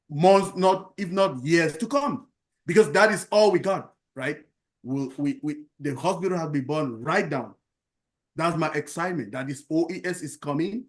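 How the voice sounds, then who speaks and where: A man from Cameroon speaking at an online round table, earnest and halting, repeating himself and pausing on fillers. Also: on the high side. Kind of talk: impassioned testimonial speech.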